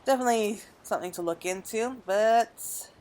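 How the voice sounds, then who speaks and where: A woman's voice: fairly high pitch, moderate pace, a little mellow. A woman giving casual, chatty commentary as she plays through a game, thinking aloud. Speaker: gamer narrating a playthrough. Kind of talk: casual gameplay commentary, also reading game dialogue aloud.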